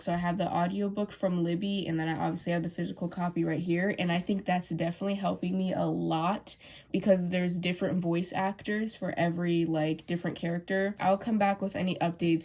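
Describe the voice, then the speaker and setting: A young woman talking straight to camera in a loose, chatty way, her voice sitting a little low. She rambles from one thought to the next and leans on filler words as she goes. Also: dark tone. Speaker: book vlogger. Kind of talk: casual chat to camera.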